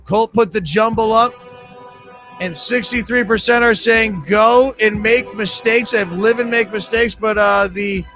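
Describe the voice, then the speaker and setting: A man's voice: sits high, steady tone, a little slow, a bit bright, faint music behind. A man hosting a live trivia game, joking with players and rambling through sponsor reads.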